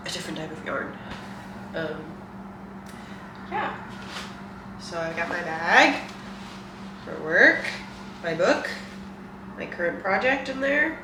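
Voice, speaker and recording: A young woman with a stuffed-up nose chatting casually and rambling to her vlog viewers in a self-deprecating way. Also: on the low side. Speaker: vlogger. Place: indoors at home, in a kitchen. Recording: echoey room, distant mic, loud noise.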